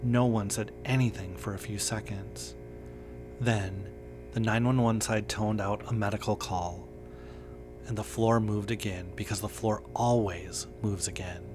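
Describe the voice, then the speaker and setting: A man reading a scripted first-person horror story in a measured, understated way. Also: lowish voice, steady tone, over music. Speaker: narrator.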